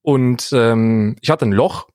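A man's voice: medium pitch, slow pace, a little mellow, no room sound, clean studio sound. A man, chatting and telling anecdotes casually as a podcast co-host.

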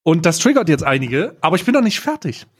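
A man's voice: a little high, sing-song, fast, slightly rough. A man telling anecdotes casually as a podcast co-host, blunt and slangy, dropping in bits of English.

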